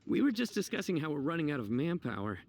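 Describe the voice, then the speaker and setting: A weary city guard from a video game. He sounds earnest and a little worn down, with a sigh here and there. His pitch rises and falls expressively. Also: very animated, fast, slight background noise. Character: worried male city guard.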